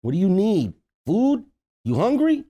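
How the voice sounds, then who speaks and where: A man's voice: sits high, wildly swooping pitch, measured pace, warm tone, heavily acted. A man playing a brash, bossy Italian-Cuban buddy in a comedy table read, scolding and exclaiming. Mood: urgent.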